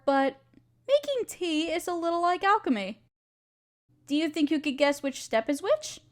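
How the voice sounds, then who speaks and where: A woman playing a kind, patient alchemist who gently teaches and reassures an apprentice in an intimate audio roleplay. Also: sits high. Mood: friendly, enthusiastic. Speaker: voice actor in a scripted audio roleplay. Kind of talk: intimate scripted roleplay, one-sided conversation with listener.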